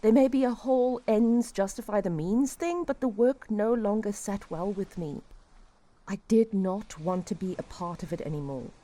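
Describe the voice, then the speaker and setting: A woman acting a despairing refugee who confesses old guilt in a reflective, halting way, in an audio drama. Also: mid-range voice, dark tone, natural voice. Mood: regretful, defeated.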